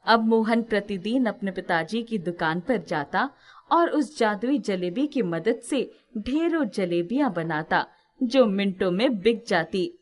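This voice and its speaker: A woman's voice: medium pitch, lively intonation, unhurried. A woman narrating a scripted moral story as its storyteller.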